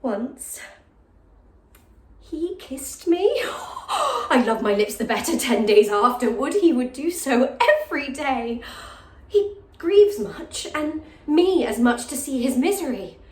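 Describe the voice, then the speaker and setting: A woman's voice: high-pitched, sing-song, measured pace, darkish tone, voice pushed up. A lovestruck young maid from classical theatre, acted by a woman, speaks in a raised, emotional voice full of exclamation. She is breathless and impulsive, in heightened Shakespearean verse style. The room around her is echoey. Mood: rapturous, aching. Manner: emotional, exclaiming, with gasps and sighs. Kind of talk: classical stage monologue, Shakespearean acting.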